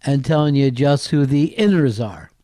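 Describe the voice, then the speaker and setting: A man's voice: medium pitch, expressive, normal speed, mellow, no room sound, clean studio sound. A man hosting a comedy talk show with casual, joking, rambling banter.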